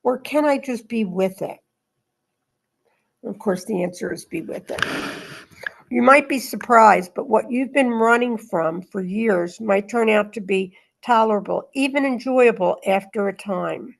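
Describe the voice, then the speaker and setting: Woman, medium pitch, creaky voice, spiritual group host, reading and informal discussion. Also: unhurried, poor audio.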